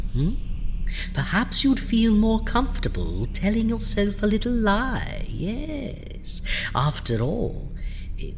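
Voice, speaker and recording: A sardonic undead male crypt-keeper as host of a horror audio drama. He draws his words out theatrically and swings widely in pitch. There is slight noise behind him. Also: heavily acted. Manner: theatrical, indignant, drawn-out delivery.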